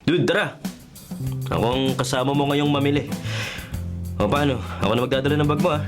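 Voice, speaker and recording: A man's voice: medium pitch, fairly quick, close to an everyday voice. Man acting lovesick young Filipino over music. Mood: casual, insistent. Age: young adult. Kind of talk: Tagalog radio drama acting.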